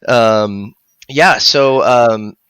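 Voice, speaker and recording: A man's voice: mid-range voice, drawn-out words, a bit bright, clear, resonant tone, no echo, studio quality. A man in his thirties chatting casually as he co-hosts a live stream, speaking into a headset mic. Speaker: audiobook narrator and producer co-hosting a live stream. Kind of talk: casual live-stream discussion, reading out viewer questions. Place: indoor room at home.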